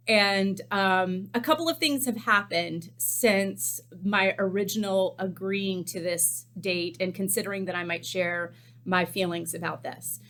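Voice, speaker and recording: A woman's voice: medium pitch, fairly bright tone. Chatty chef in her fifties. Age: 50s. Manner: chatty and candid, many ums. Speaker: chef hosting a cookbook series. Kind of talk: casual chat to camera. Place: small cooking studio indoors.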